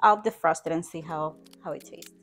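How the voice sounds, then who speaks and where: Chatty, encouraging woman with a low voice. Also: fairly quick, creaky, fairly bright tone, soft background music. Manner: chatty and encouraging, laughs at her own mess. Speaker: home organizing vlogger. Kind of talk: casual talk to camera with voice-over. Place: home kitchen, indoors.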